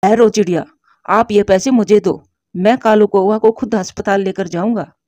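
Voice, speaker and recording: A woman's voice: mid-range voice, fairly even intonation, normal speed, warm tone, no room sound, lightly acted. A woman voicing an earnest, insistent male pigeon neighbour in a cartoon.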